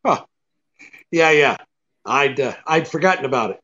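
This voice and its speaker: An actor playing a tough, wisecracking, impatient private detective in a radio drama, brusque and sardonic. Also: male voice, mid-range voice, expressive, moderate pace, bright, forceful tone, dull audio. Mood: wry. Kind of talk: hardboiled radio drama acting with narration.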